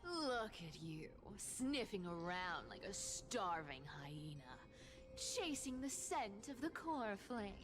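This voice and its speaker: A woman's voice: mid-range voice, sing-song, takes it slowly. A woman voicing a playful, cocky cat thief in a video game, theatrically teasing with whispers and giggles. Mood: mocking, gloating. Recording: loud game music behind. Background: game music.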